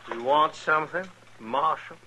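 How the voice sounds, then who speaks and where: An actor playing an insolent, drawling cowboy gunman with a rough, husky voice in a radio western. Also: on the high side, moderate pace, noisy background, lightly acted. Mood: insolent, goading. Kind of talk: 1950s radio western acting.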